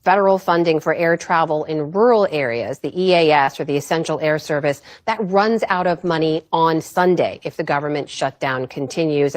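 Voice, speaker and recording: A woman with a fairly low voice works as a news anchor. Her delivery is polished and articulate, in the style of a broadcast interview.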